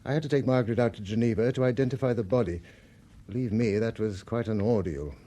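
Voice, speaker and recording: An actor playing an anxious, courteous financier in a radio drama, speaking earnest British English with polite hesitations. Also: male voice, on the low side, quick pace, dark tone, close to an everyday voice. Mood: grave, troubled. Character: anxious, courteous financier worried about his highly strung sister.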